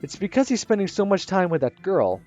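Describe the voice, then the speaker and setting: A man voicing an excitable, dramatic teenage girl from a visual novel, whining and gushing. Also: high-pitched, brisk. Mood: whiny gushing. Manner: loud, whiny, gushing. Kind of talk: reading a visual novel aloud. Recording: slightly muffled with soft music.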